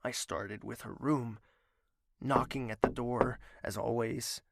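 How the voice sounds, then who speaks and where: A man's voice: mid-range voice, steady tone, takes it slowly, vocal fry, very noisy. A man playing a lovesick, stubborn young prince in an audio drama, earnest, formal and melodramatic. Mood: puzzled, searching.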